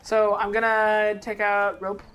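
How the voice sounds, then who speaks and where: A woman's voice: mid-range voice, little pitch movement, unhurried, a bit bright. A woman speaking casually as a player in a tabletop roleplaying game. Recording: heavy background noise with clicks.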